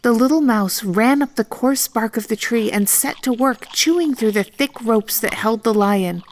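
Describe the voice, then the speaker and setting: A woman narrating a scripted children's story in a deliberate, expressive storytelling manner. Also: a little high.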